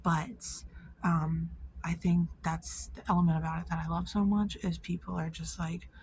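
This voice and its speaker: Low, even-toned casual woman vlogger. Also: normal speed, slight background noise. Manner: informal, slangy, frequent "like" and swearing. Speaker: video creator. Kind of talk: casual vlog commentary.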